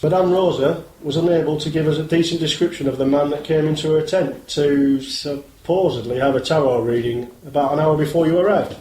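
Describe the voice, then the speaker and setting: A man playing a polite, methodical and persistent police inspector in a radio play, formal and measured in manner. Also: fairly high pitch, warm tone, lightly acted. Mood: serious, probing. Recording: roomy echo, faint noise.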